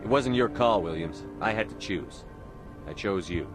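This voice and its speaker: A hard sci-fi game commander acted by a man speaks in short, firm statements. The voice is low and authoritative, with music clearly playing behind. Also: normal speed, natural voice. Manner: blunt, firm, clipped. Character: hard, commanding male space-navy officer, blunt and resolute. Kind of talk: video game cutscene acting.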